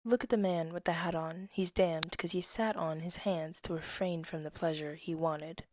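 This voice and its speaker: A woman, reading her old poems and essay aloud with casual asides, soft-spoken and slipping into whispers. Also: on the low side, fairly even intonation, normal speed, noisy background. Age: 20s. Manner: soft-spoken, drifts into whispers, laughs at herself. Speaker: poet reading her own work.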